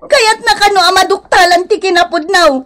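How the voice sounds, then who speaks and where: A woman acting a simple-minded, excitable married mother in an Ilocano comedy radio drama, with an Ilocano accent and drawling, melodramatic delivery. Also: very high voice, moderate pace. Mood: whining.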